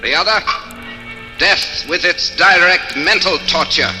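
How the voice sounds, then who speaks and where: High-voiced, coldly menacing ghostly inquisitor. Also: male voice, pushed and bright, full character voice. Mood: cold, formal. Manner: deliberate, formal, sinister. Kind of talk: 1940s radio horror acting. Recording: old radio broadcast with music behind.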